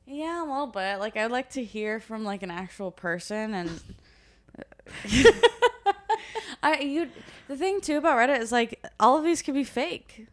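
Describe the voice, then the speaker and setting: A woman's voice: a little high, animated, measured pace. Tired young woman podcaster. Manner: joking, swears freely. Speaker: podcast co-host. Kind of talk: casual chat.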